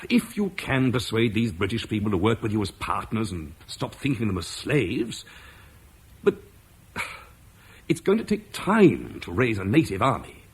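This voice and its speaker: An actor playing Marcus, a duty-bound Roman officer, in an old radio play, earnest and measured. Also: male voice, on the low side, sing-song, husky, heavily acted. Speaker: Roman commander leaving Britain. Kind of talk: 1950s BBC radio drama acting.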